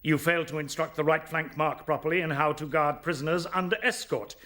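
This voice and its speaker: A man's voice: a little high, steady tone, brisk, sharp tone. A man playing a merciless rabbit general in a radio drama, commanding, formal and severe. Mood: cold, stern.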